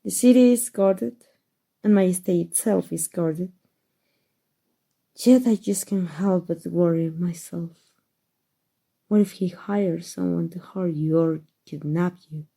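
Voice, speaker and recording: Woman performing an aristocratic female vampire, very soft dark timbre, lowish pitch, refined formal phrasing, doting and protective, ASMR roleplay. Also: slow pace, creaky, long pauses, full character voice. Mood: worried, reassuring.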